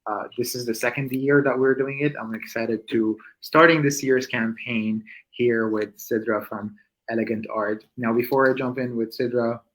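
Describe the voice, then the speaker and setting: A man's voice: medium pitch, steady tone, moderate pace, slight background noise, rough recording. A man hosting an online interview over a video call, polite and hesitant with many restarts. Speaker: host.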